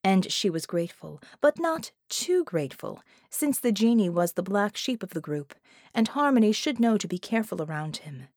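A woman's voice: medium pitch, very animated, normal speed, darkish tone, no room sound, studio quality. A woman reading scripted fiction as an audiobook narrator.

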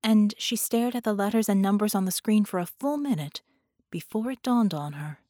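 A woman's voice: medium pitch, normal speed, mellow, no room sound, studio quality. A woman reading scripted fiction as an audiobook narrator.

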